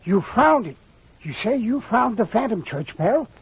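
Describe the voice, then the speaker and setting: An actor playing a folksy old small-town mayor with a rural American accent and a husky voice in an old-time radio drama. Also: male voice, very high voice, big pitch swings, measured pace, no echo, exaggerated voice. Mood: astonished. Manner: drawling, folksy, exclaiming. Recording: muffled old radio recording.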